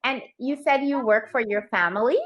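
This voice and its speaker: A woman from New York with a New York accent, an online English tutor speaking slowly and clearly on a video call, encouraging and gently correcting. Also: a little high. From New York, USA. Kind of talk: slow, clear tutoring conversation. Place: home teaching room with toys.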